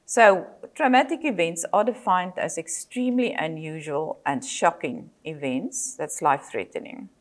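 Older south african lady, afrikaans first language, lecturing slow and careful, lots of ums, a bit low-pitched. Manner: measured, with frequent fillers and self-corrections. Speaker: psychologist and lecturer. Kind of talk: presentation lecture.

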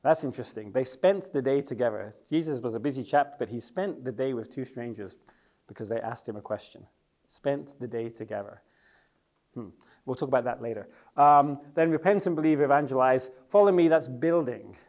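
A man's voice: mid-range voice, normal speed, darkish tone. A middle-aged Englishman leading a teaching session in a chatty, joking way, asking the audience questions. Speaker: youth worker and church leader.

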